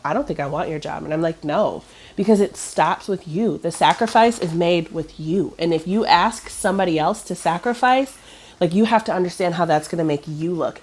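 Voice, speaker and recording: Woman, 30s, stuffy nasal voice, rambling casual chat, lower pitch. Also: a little mellow. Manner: rambling, lots of fillers and restarts.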